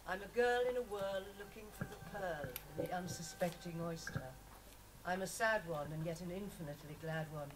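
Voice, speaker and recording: A woman's voice: fairly low pitch, normal speed. A woman reciting her own poems to an audience at a live reading. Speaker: poet. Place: live festival reading, picked up from a distance. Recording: noisy live recording, distant mic.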